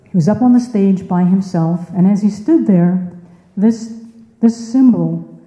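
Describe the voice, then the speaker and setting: A woman's voice: medium pitch, unhurried, mellow. A woman giving an informal speech to a club in a meeting room, conversational, putting questions to listeners and repeating words for emphasis, with echoey, muffled sound. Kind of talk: informal presentation to a club audience.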